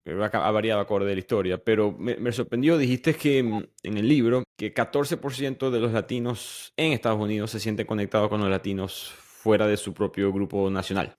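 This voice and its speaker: A man's voice: fairly low pitch, fairly even intonation, a little fast, hardly any pauses, darkish tone. A young man from Venezuela hosting a podcast, chatting conversationally and often restarting his sentences. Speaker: podcast host. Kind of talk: casual podcast interview.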